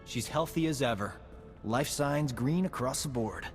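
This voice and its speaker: A man's voice: mid-range voice, animated, measured pace, close to an everyday voice. A man playing a flirtatious, roguish medic in a video game, with a suave, breezy swagger. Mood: smug. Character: cocky, flirtatious roguish male medic companion. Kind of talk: video game cutscene acting. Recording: long hall reverb, faint game music.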